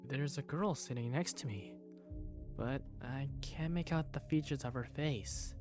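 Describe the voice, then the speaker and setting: A man's voice: mid-range voice, unhurried, over music, natural voice. A man playing a sleepy, easily embarrassed teenage schoolboy who narrates a visual novel in the first person. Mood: puzzled.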